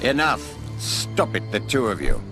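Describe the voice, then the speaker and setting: A man's voice: medium pitch, huge pitch swings, unhurried, vocal fry, heavily acted. An actor playing a haughty male owl instructor who scolds in a sniffy, clipped way. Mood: disdainful. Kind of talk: game cutscene voice acting. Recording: voice over loud game music.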